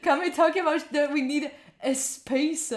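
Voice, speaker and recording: Chatty Italian woman, bright voice. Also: high-pitched, fairly even intonation, unhurried. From Italy. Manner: chatty, giggly, rambling with many fillers. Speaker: study streamer. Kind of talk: casual live-stream chat.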